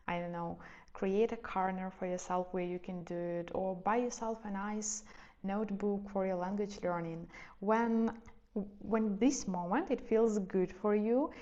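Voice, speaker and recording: A young woman who is not a native English speaker talks gently to camera, sharing advice in a soft, reflective way and hedging as she goes. Her voice sits at an ordinary middle pitch. The audio is a little dull and muffled. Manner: soft, reflective, hedging with "let's say" and "I don't know". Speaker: lifestyle vlogger. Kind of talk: casual advice talk to camera.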